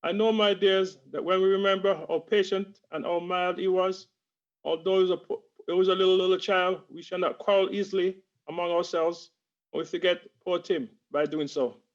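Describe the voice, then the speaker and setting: A man plays a grieving father of a poor family in an amateur radio play, his speech halting and choked-up. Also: sits high, steady tone, natural voice. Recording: dull lo-fi video call audio.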